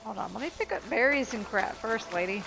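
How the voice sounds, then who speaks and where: A woman's voice: on the high side, a little fast, gravelly, low-quality recording. A woman giving casual, chatty let's play commentary with mild swearing while playing a video game. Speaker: gameplay commentator. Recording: loud game music and sounds behind. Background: game music and action sounds.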